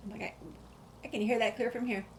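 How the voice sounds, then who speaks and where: A woman's voice: fairly high pitch, fairly even intonation, quick pace. A woman giving casual, amused gameplay commentary, chatting to the characters as she plays. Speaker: gamer playing and commenting. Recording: commentary over noisy game ambience.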